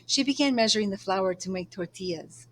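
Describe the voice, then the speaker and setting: A woman reads a children's book aloud as a storyteller. Her voice sits at a medium pitch, and there is clear noise in the recording. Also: fairly quick.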